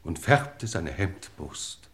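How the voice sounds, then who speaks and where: World-weary aristocrat, low-pitched, ornate deliberate speech, wry self-justification, vintage radio crime comedy. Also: male voice, big pitch swings, fairly bright tone, full character voice. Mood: measured, wry. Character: genteel, world-weary English lord, wryly self-justifying. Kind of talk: 1950s German radio play acting. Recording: 1950s radio play recording.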